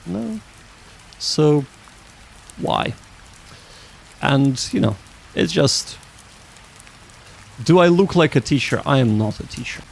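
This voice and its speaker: Gravelly-voiced man chatting on a livestream. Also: on the high side, sing-song, slow, pauses often. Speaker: livestream host. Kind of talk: casual livestream chat with viewers.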